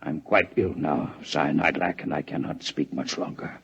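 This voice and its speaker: An actor playing a grave, ailing alien doctor who explains coolly in 1950s radio drama style. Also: male voice, rumbling low, normal speed, creaky, fairly bright tone, dull audio, exaggerated voice. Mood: weak, ailing. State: weakened, ill. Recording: old 1950s radio recording.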